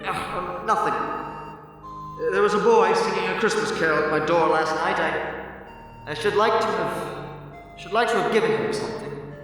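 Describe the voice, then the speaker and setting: A man's voice: sits high, a little slow, pauses often, a bit bright. A man playing an anxious, wistful elderly miser in an old-time radio drama, stammering and exclaiming. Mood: wistful regret. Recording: echoey hall, distant mic, music behind.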